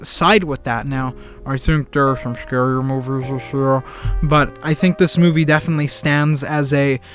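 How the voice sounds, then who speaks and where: Earnest young man rambling through a review. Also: a little high. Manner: rambling, repeats words and restarts often; earnest and enthusiastic. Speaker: film reviewer. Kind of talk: casual spoken film review.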